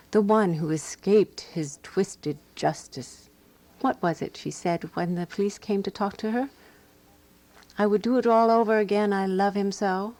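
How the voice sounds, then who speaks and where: An actress playing an exasperated, devoted woman companion who narrates a radio drama murder mystery in a measured, wry way. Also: medium pitch, a little mellow, dry recording, close to an everyday voice. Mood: exasperated, jealous.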